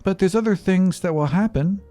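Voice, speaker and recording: A man plays a little girl from a dream, pitched up and lightly teasing. The child speaks breezily and cryptically, as if casual about godly matters. The tabletop game recording is dry, with faint music. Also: brisk, dark tone, dry recording, close to an everyday voice. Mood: breezy, cryptic.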